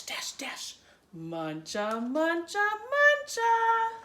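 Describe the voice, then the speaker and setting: A woman reading a children's picture book aloud in an expressive, theatrical way with dramatic emphasis. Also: very high voice, a little hiss or hum. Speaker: children's story reader. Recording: roomy, echoey room sound.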